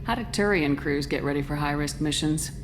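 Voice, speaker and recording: A woman playing a steady, supportive military commander in a video game, composed, reassuring and direct. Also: low voice, fairly quick, noisy background, lightly acted. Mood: curious, familiar.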